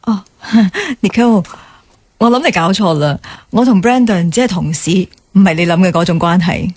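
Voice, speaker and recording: A woman's voice: medium pitch, animated, normal speed, darkish tone, slightly rough, no echo. An actress playing a guarded, polite young woman in naturalistic radio drama dialogue. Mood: awkward, curious.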